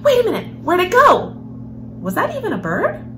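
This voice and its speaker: A young woman hosting a children's story time, reading a picture book aloud in an expressive storyteller's manner. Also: extremely high pitch, moderate pace, slight background noise. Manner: expressive, animated storyteller, with hushed passages. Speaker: host of a children's read-aloud series. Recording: music behind the voice. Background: background music.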